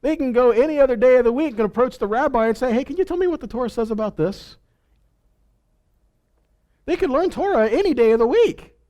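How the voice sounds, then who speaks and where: High-voiced man teaching scripture informally. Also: animated, brisk, pauses often, warm tone, lo-fi sound. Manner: conversational, asks rhetorical questions, acts out imagined replies. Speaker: Bible teacher. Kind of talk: informal sermon with scripture reading and commentary.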